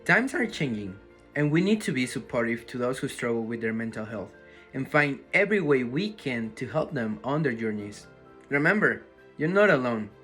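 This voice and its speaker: A young man, a high school student, delivering an encouraging scripted message. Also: big pitch swings, moderate pace, a bit bright. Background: music.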